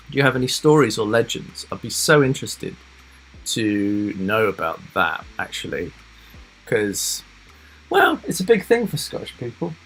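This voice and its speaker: A man hosting a casual live stream, chatting loosely to his viewers with lots of fillers, rambling asides and soft laughs. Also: medium pitch, rough recording. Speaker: reaction livestream host.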